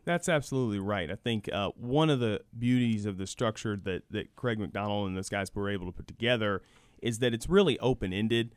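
Fast-talking man, conversational radio guest. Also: fairly low pitch, smooth, no room sound. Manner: conversational, explanatory, often checks with "right?". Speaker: radio show guest, university program director. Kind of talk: live radio interview, answering callers.

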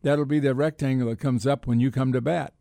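An American man chats about sports as a radio host. His delivery is halting, circling back to repeat and rephrase words. The sound is dry, with very little room on it. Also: mid-range voice, fairly even intonation, vocal fry, dark tone, no echo. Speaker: sports talk show host. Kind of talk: casual sports chat.

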